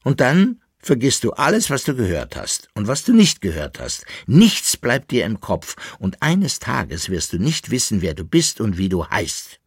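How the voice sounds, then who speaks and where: A man's voice: lowish voice, huge pitch swings, a little slow, few pauses, breathy edge, no room sound, clean studio sound, exaggerated voice. A man voicing an anxious, scolding and wailing musician in a dramatic audiobook story. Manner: loud, reproachful, lamenting.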